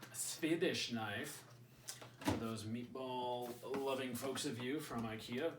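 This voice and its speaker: A man's voice: mid-range voice, roomy sound, recorded from a distance, noisy background. A man hosting a casual gear review at home, rambling and joking as he thinks aloud. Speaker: channel host. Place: indoors at home.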